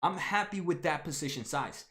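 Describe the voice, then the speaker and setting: A man's voice: a little high, lively intonation, fairly bright tone. Chatty young man hosting a livestream. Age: 20s. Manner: halting, many fillers and restarts, addresses viewers by name. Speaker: stock market livestream host. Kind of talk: casual livestream chat reading viewer comments.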